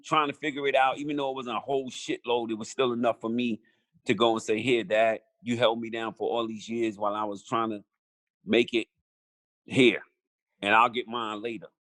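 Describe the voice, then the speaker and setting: A man's voice: mid-range voice, flat delivery, normal speed, dry recording. A middle-aged man, a podcast guest talking bluntly and with profanity, restarting his sentences often. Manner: blunt, profane, repeats himself when starting a thought; quiet, reflective when talking about regrets. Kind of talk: casual conversation.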